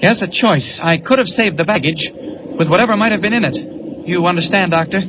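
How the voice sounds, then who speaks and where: An actor playing a modest, mild-mannered heroic newspaper reporter in a 1940s radio serial. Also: male voice, high-pitched, fairly quick, natural voice. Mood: earnest. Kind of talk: 1940s radio drama acting. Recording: muffled, noisy old radio transcription.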